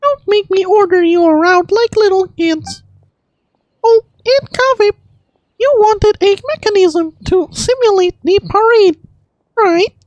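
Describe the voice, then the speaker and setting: A man voicing a proud, bossy senior female scholar in a game, brisk and scolding. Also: very high voice, dull audio, voice pushed up. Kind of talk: game character voice acting.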